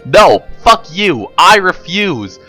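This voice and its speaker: A man's voice: high voice, big pitch swings, takes it slowly, pushed and bright, faint music behind, pitched above the performer's own voice, heavily acted. A man voicing a defiant, foul-mouthed pony in an indignant, blunt, emphatic manner for a story reading. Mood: defiant, angry. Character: defiant, foul-mouthed male pony, irritated by pompous arbiters.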